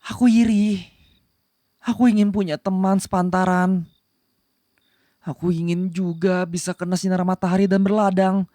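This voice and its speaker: Man voicing a young demon prince, soft-hearted tsundere, possessive and easily flustered, ASMR roleplay, close dry sound. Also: sits high, level tone, normal speed, long pauses, dry recording, lightly acted. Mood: wistful, soft. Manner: stammering, flustered denials, possessive commands. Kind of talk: ASMR romantic roleplay acting.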